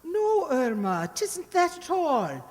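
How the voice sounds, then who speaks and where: A woman's voice: high voice, huge pitch swings, slow pace, darkish tone, heavily acted. A woman playing a well-meaning, folksy landlady, in old-time radio comedy style. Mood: protesting, soothing. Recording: echoey hall, long reverb.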